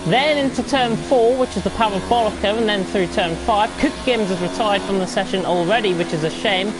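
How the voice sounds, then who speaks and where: A woman commentating live on an online racing league, croaky with a flu and rambling with frequent self-corrections. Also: medium pitch, noisy background. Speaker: race commentator.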